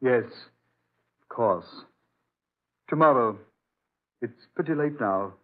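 Guarded doctor, muffled radio sound. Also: male voice, medium pitch, lively intonation. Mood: genial. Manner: brisk, firm, evasive. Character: reassuring but guarded doctor, protective father on remote island. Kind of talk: old-time radio drama acting. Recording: muffled narrowband old radio recording.

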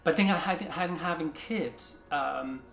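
A man in his forties from China, talking in a soft, halting way with many restarts during a seated interview in an art studio. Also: a little high, gravelly. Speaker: artist. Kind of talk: reflective interview talk. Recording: roomy studio sound with music behind.

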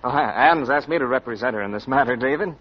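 An actor playing a polite, unflappable young lawyer with a formal, measured manner in a 1940s radio comedy. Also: male voice, medium pitch, breathy edge, in a large hall, close to an everyday voice. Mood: calmly formal. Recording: muffled 1940s radio recording.

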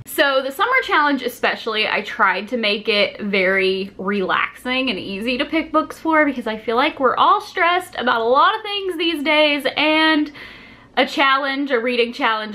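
A woman's voice: fairly high pitch, sharp tone. A woman in her twenties hosting a casual, chatty explainer to camera, speaking informally with plenty of filler words like "like". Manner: informal, filler-heavy with frequent "like". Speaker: video host presenting a reading challenge. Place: small furnished home room, indoors.

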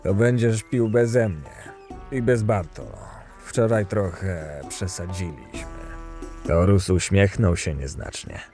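A man's voice: deep voice, takes it slowly, over music. A man acting a cool, cynical camp boss who narrates his story dryly in a fantasy audio drama. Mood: dry, unbothered. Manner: dry, detached, curt.

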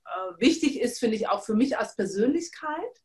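A woman's voice: fairly high pitch, normal speed. A woman in her thirties from Czechoslovakia, a business coach, telling her story over a video call with many fillers. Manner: lively with frequent fillers. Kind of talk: interview storytelling. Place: studio room with teal backdrop.

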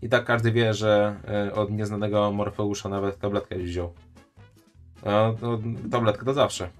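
A man's voice: lowish voice, fairly even intonation, normal speed, faint music behind. A man live-streaming his reaction to a video, chatting and joking with his viewers. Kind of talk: casual live-stream commentary.

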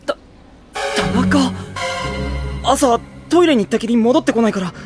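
A woman's voice: on the high side, expressive, normal speed, over music, close to an everyday voice. A woman playing a mild, sensible teenage boy, the dry exasperated straight man in an anime audio drama. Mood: startled. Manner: dry, muttered retorts.

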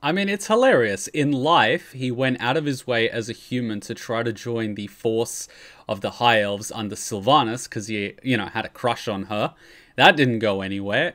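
A man's voice: medium pitch, fairly bright tone. A man casually explaining game lore on a livestream, swearing freely as he goes. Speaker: streamer and lore commentator. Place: indoor room at a streaming setup.

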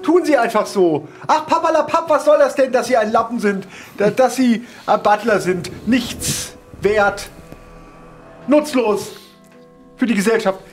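A man's voice: very high voice, measured pace, faint music behind. A man playing a pompous, condescending aristocrat in a roleplay audio play. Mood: scornful, haughty. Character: snobbish, condescending aristocratic gentleman.

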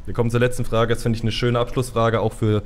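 A man's voice: medium pitch, level tone, fast. A man hosting a casual podcast interview. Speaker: podcast host.

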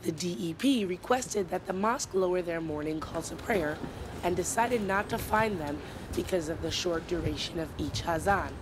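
A woman reporter reading scripted news narration in a measured, even way. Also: lowish voice, noisy background.

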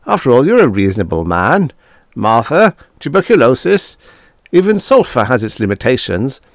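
A man's voice: medium pitch, very animated, normal speed, creaky, no echo, studio quality, pitched above the performer's own voice. A man voicing a stout, jovial older male professor who talks in a hearty, overbearing way, chuckling and pushing his point. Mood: insistent.

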